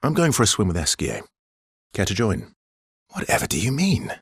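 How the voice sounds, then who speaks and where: A brooding but tender man in naturalistic game dialogue. His low voice is very husky and breathy, sitting close and dry, and he speaks in an understated, halting, intimate way with a gently teasing edge. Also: wildly swooping pitch, dry recording, exaggerated voice. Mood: wry, teasing. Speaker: video game voice actor.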